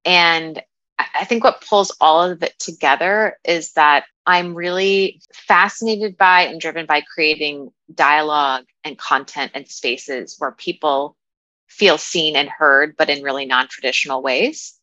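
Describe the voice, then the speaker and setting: A woman's voice: deep voice, unhurried, sharp tone, no echo, dull audio. A woman in her thirties speaking as a coach in a casual interview, with an informal, wry, self-deprecating manner. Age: 30s. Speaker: guest, dating and relationship coach.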